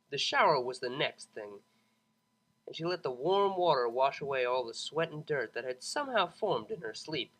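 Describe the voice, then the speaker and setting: Animated, bright-voiced man narrating a story. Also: a little high, poor audio. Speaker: narrator, fan fiction audiobook reader. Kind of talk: expressive scripted story narration.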